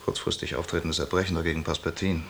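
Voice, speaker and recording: An actor in a radio drama playing a matter-of-fact emergency doctor who reports a case in a clipped, clinical way. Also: male voice, sits low, level tone, fast talker, creaky, slight background noise. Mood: businesslike, urgent.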